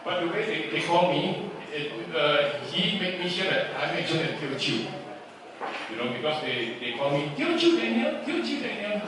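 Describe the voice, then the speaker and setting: A man's voice: a little high, big pitch swings, moderate pace. A man from Indonesia, a music teacher, telling stories at a concert in a chatty way, with restarts and many tag questions. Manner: chatty, restarts words, many "you know" tags. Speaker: music teacher and stand-up comic. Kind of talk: stand-up comedy storytelling. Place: concert venue with audience. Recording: echoey room from far off, with noise.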